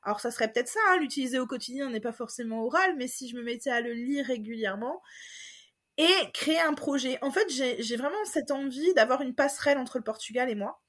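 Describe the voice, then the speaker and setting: High-voiced hesitant French woman guest. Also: brisk, fairly bright tone. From France. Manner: hesitant, many fillers and restarts. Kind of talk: casual personal testimony.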